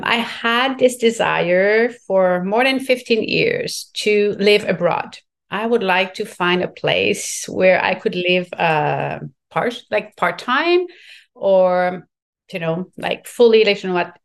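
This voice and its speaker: A middle-aged woman from Quebec with a French accent, speaking reflectively as a podcast guest and often filling pauses with "like, you know". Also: mid-range voice. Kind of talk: podcast interview conversation.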